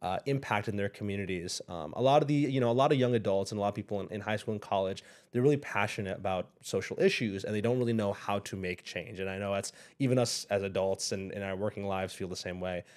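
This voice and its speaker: A young man as a guest on an interview show, speaking thoughtfully, pausing often and restarting his sentences. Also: fairly low pitch, darkish tone. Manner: thoughtful, hesitant, many fillers and restarts. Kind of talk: casual interview.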